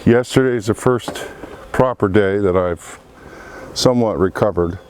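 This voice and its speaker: A man with a cold and a froggy, congested voice, talking slowly through a beehive inspection outdoors among buzzing bees. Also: on the low side, a little mellow, a little hiss or hum. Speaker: beekeeper. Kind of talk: casual hive inspection commentary. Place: outdoor apiary.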